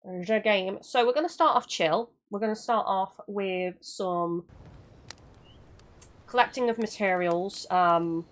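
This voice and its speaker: A woman's voice: mid-range voice, slow. A young woman chatting through a live game stream, greeting viewers as she plays, her voice worn from losing it lately. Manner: chatty, rambling, chats with viewers. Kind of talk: live gameplay commentary.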